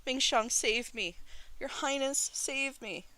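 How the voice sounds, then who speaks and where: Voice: sits high, slow, pushed and bright, pitched above the performer's own voice, exaggerated voice, low-quality recording. A reader voicing a hysterical, raging young god in a dramatic audiobook style. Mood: desperate wailing. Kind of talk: dramatic audiobook character reading.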